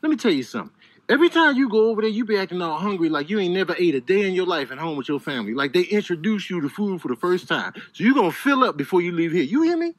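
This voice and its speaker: A man voicing cocky, indignant animals in a comedic voice-over, with husky, streetwise, exasperated talk. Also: high-pitched, big pitch swings, racing. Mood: scolding. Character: cocky, indignant trash-talking male animals.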